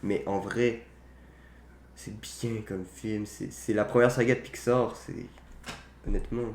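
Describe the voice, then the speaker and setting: A man's voice: lowish voice, fairly even intonation, unhurried, darkish tone. A young man chats casually about films, opinionated and slangy, with mild swearing. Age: young adult. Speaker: podcast co-host. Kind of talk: casual film chat. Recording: roomy echo, faint background noise.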